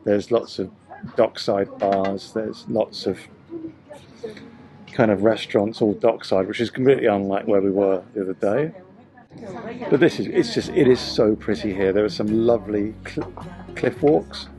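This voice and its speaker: Relaxed man chatting outdoors on a boat. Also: on the low side, moderate pace, soft background music. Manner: relaxed, rambling, thinks aloud with restarts. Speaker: sailing vlogger. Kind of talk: casual chat. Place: outdoors on a boat and a rocky shore.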